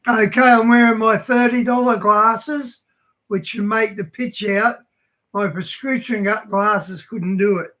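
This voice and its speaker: A hoarse man hosting a livestream, reading scripture aloud haltingly and chatting casually. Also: high-pitched, fairly even intonation, bright, forceful tone. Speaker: livestream host.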